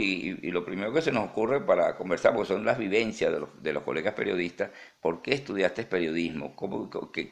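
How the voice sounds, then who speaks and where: A man with a Venezuelan accent hosting a live interview in an informal, chatty way, often halting and repeating words. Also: low voice, creaky. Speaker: interview host.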